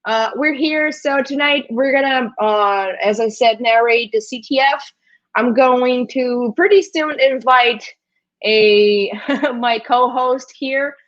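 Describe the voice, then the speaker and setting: A woman from Brazil whose first language is Brazilian Portuguese, hosting a livestream in a chatty, halting way with frequent hesitations. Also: fairly high pitch, muffled recording. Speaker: host.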